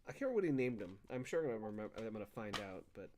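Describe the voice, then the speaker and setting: A man's voice: fairly low pitch, lively intonation, very fast, a crowd in the background. A man chatting casually about games as a co-host on a live show. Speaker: co-host of a gaming radio show. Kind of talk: casual gaming banter.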